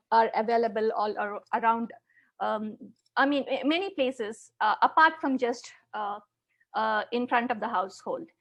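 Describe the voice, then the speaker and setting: A woman with a high voice and a South Indian accent teaches an online class over a video call. Her delivery is halting, with words often repeated as she explains her slides. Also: gravelly, a bit bright. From Chennai, South India. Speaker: teacher, online conference presenter. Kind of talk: interactive online lesson with slides.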